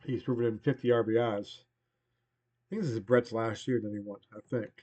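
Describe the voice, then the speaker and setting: Hoarse man with a cold. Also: lowish voice, steady tone, moderate pace, mellow, highs cut off. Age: 40s. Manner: rambling, conversational, trailing off. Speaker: game replay host. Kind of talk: casual play-by-play of a baseball game replay.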